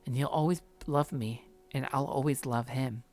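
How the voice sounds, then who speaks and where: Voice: mid-range voice, fairly even intonation, normal speed, a little mellow, faint music behind, lightly acted. A performer voicing a clingy, possessive young woman from a visual novel in a sweet, coaxing manner. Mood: obsessive, gleeful.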